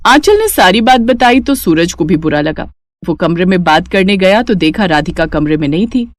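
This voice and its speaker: A woman's voice: fairly low pitch, animated, normal speed, dry recording, studio quality. A woman narrating a scripted family story.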